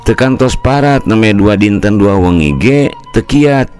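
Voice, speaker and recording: A man's voice: fairly low pitch, lively intonation, unhurried, darkish tone, faint music behind. A man with a Sundanese accent voicing a polite village man who relays gossip respectfully in an audio folk story. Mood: gossipy, respectful. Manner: deferential, chatty, storytelling.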